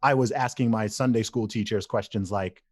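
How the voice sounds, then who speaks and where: A man's voice: lowish voice, normal speed, no room sound, clean studio sound. A man in his thirties from England, a podcast guest who tells stories animatedly, repeats phrases and laughs easily. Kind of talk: casual interview conversation.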